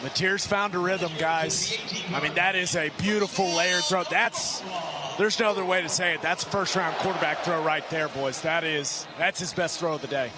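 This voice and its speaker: A man's voice: fairly high pitch, steady tone, moderate pace. A man giving live radio football analysis as color commentator, emphatic and repeating himself for effect. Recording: radio broadcast over loud stadium noise.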